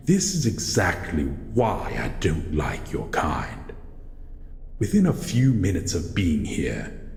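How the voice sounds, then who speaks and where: A man voicing a grumpy, wary shadow monster in an audio roleplay, speaking in a halting, brooding way as it guards its old house. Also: rumbling low, creaky, darkish tone, heavily acted. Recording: echoey hall reverb, faint background noise.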